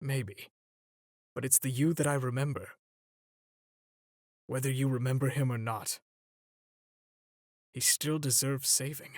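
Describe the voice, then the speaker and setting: A man playing an older superhero mentor in a comic dub, paternal and gently stern, with a theatrical, measured delivery. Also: medium pitch, dry recording, clean studio sound, close to an everyday voice. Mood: earnest, sorrowful. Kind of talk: dramatic comic dub voice acting.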